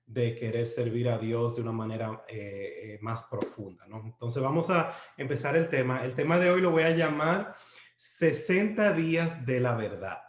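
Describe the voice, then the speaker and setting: A man's voice: medium pitch, expressive, normal speed, a little hiss or hum. A Dominican man raised in the United States, with a Dominican Spanish accent shaped by English, leads a live-streamed Bible study from his home. He speaks unhurriedly and hesitantly, with many "eh" fillers and restarts, and ends points with a questioning "¿no?". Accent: Dominican Spanish with US English influence. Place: at home near the kitchen. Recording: roomy home kitchen echo.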